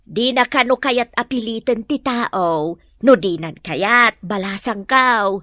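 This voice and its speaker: An actress playing a nosy, brazen village gossip in an Ilocano audio drama, with an Ilocano accent and a sing-song teasing manner. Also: female voice, fairly high pitch, animated, slow, sharp tone, no room sound, clean studio sound, heavily acted. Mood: prying.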